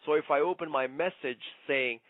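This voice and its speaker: A man giving an instructional sales presentation like a trainer, conversational but emphatic, often checking in with a quick "okay?". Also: on the high side, no echo. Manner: conversational, punctuates points with "okay?". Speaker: network marketing trainer. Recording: muffled, narrow phone-like sound.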